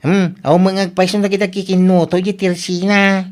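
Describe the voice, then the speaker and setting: A woman with an Ilocano accent playing an excitable, gossipy, skeptical villager who exclaims a lot in a comedy radio drama. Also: mid-range voice, normal speed, close to an everyday voice.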